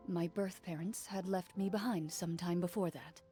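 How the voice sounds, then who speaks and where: A woman voicing a composed young female duelist who speaks in a calm, measured, matter-of-fact way. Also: on the low side, faint music behind, close to an everyday voice. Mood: reflective. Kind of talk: game cutscene voice acting.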